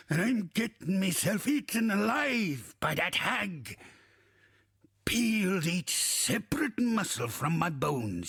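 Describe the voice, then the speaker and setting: Gravelly, raspy old undead pirate captain. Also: male voice, on the high side, fairly bright tone, no room sound, clean studio sound, heavily acted. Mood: rueful, bitter. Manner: archaic pirate dialect, swaggering, theatrical, cursing. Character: gruff, salty old undead male pirate captain, crude and boastful. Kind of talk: video game character acting.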